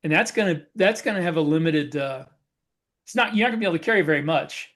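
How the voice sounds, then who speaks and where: A man's voice: fairly high pitch, lively intonation, fast, a bit bright. A man co-hosting a podcast as a science fiction author, explaining things in casual conversation.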